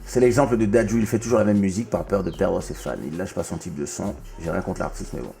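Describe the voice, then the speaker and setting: A man's voice: low voice, steady tone, quick pace, vocal fry, warm tone, soft background music. A man from Congo hosting a casual chat, talkative and often stumbling and restarting his sentences. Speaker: podcast host.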